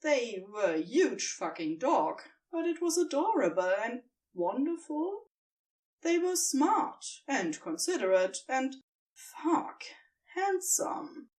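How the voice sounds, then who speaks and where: A woman narrating fan fiction as an expressive scripted audiobook reading. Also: high voice, slow pace, recorded from a distance.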